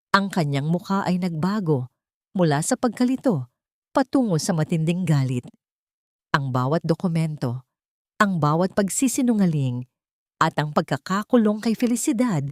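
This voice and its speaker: A woman's voice: lowish voice, darkish tone, dry recording, studio quality. A woman reading a dramatic scripted story as an audiobook narrator.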